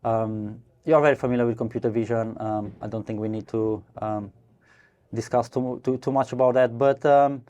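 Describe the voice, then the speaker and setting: A man's voice: mid-range voice, fairly even intonation, normal speed, warm tone. A man from Romania, a company co-founder, giving casual interview answers in a brewery podcast studio, hesitant and full of restarts. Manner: hesitant, many fillers and restarts, laughs easily. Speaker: guest, startup co-founder.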